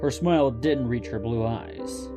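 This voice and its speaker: Guy reading a story as narrator, medium pitch, soft music in the background. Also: measured pace. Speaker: narrator and host of fanfic readings.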